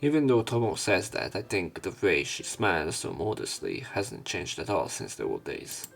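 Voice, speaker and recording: A man's voice: low voice, wildly swooping pitch, moderate pace, creaky. A man doing a let's play, reading a visual novel aloud in an everyday voice and adding casual commentary. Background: game music.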